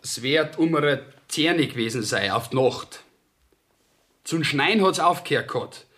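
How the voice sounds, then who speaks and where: Young Bavarian farm lad for radio play: fairly high voice, stubborn, rustic, artless rambler. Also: male voice, lively intonation, unhurried, fairly bright tone. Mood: artless, earnest. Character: plain-spoken, stubborn young peasant lad, the defendant. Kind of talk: German dialect radio play acting.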